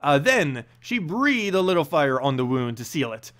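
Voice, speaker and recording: A man narrating a fantasy story aloud in an expressive, dramatic storybook style. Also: on the high side, normal speed. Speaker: narrator and channel host.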